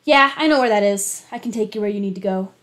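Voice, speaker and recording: A woman voicing a calm, tough male secret agent in a storybook reading, in a steady, plain way. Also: medium pitch, quick pace, natural voice.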